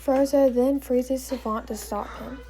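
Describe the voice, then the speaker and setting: A woman narrating a scripted story, reading it aloud in a plain, measured way. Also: high voice, fairly even intonation, warm tone.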